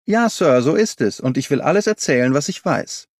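A man's voice: on the high side, lively intonation, a little fast, no echo. Older female servant, voiced by a man, chatty, deferential, loyal storybook character, very dry sound. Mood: confiding, earnest. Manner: colloquial, deferential, eager to explain. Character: talkative, loyal older woman servant confiding a secret.

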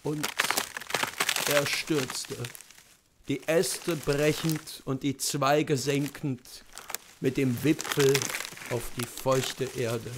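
Man in his thirties, slow literary reading, gravelly voice, home room. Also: fairly high pitch, background noise. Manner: slow, measured narration. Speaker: reader.

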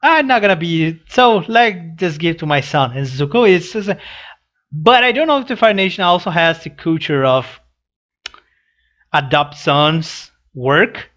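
Slow-talking, higher-pitched young man. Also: lively intonation, fairly bright tone. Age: young adult. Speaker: reaction video host. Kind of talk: casual read-along commentary on a comic. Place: small room indoors. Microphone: headset boom mic.

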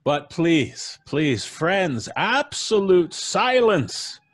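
A man's voice: high voice, very animated, no room sound, pitched above the performer's own voice, exaggerated voice. A man playing an ominous, theatrical host of an old-time horror radio show, melodramatic and drawing out his words. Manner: slow, hushed-audience melodrama, drawn-out words. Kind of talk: 1940s radio acting. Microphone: studio microphone on a boom arm.

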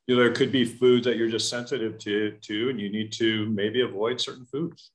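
A man's voice: medium pitch, steady tone, normal speed. A middle-aged man on a video call, musing casually with frequent "you know"s. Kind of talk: conversational remarks on a video call. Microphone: webcam video call. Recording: roomy echo on call audio.

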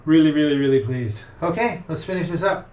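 Middle-aged man, slightly high voice, casual workshop vlog narration, halting as he works, light background noise. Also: a little mellow. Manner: talks haltingly while working, with pauses. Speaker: vlog host, boat restorer. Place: inside a wooden boat in a shed and at its workbench. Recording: noisy workshop recording with clicks.

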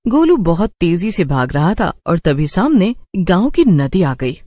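Animated male storyteller, dry sound. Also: sits high, a little slow, dry recording. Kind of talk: scripted narration of a children's horror story.

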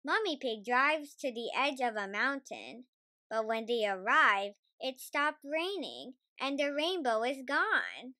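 A woman reading a children's storybook aloud, careful and expressive in her scripted narration. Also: sits high, takes it slowly, no room sound, studio quality. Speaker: storybook reader.

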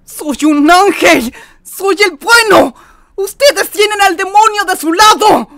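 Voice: very high voice, slow, bright, forceful tone, no room sound, clean studio sound, full character voice. An actor voicing a petulant, anguished villainous angel in a fandub, given to indignant whining. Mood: distraught, outraged. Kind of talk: fandub character acting.

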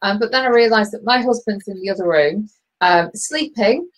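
A middle-aged woman co-hosting an online knitting chat over a video call, chatty and laughing often, with a loud booming voice. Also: moderate pace, poor audio. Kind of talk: casual chat. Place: bedroom at home, knitting. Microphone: laptop webcam on video call.

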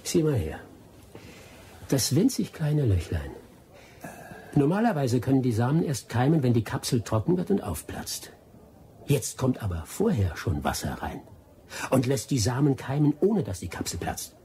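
An actor playing a wily, vengeful expatriate adventurer in a German radio drama, with a sardonic, persuasive way of talking. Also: male voice, medium pitch, huge pitch swings, moderate pace, mellow, a little hiss or hum. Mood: sly, persuasive.

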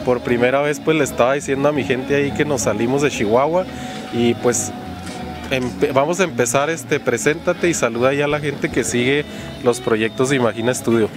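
Man, 30s, interview host, chatty, mid pitch, outdoors, handheld mic, music bed. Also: moderate pace. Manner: friendly, chatty, many fillers like "pues" and "este". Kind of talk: casual interview hosting. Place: outdoors on a park bench by a street.

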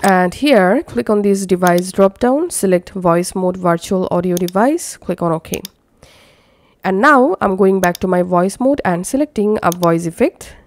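A woman narrating a step-by-step software tutorial as an instructor. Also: mid-range voice, expressive, unhurried.

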